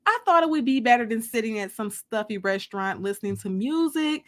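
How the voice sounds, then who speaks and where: A woman voicing a smooth, candid young man courting someone in an audiobook, casual and straightforward. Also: a little high, expressive, a little fast, close to an everyday voice. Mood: friendly, attentive. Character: smooth, candid young male suitor, ex-convict.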